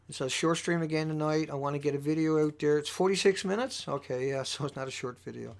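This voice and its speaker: Male livestream host, fairly high squeaky voice with heavy fry. Impassioned, unscripted monologue, urgent and repetitive for emphasis. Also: fast. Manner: urgent, emphatic, repeating phrases for stress.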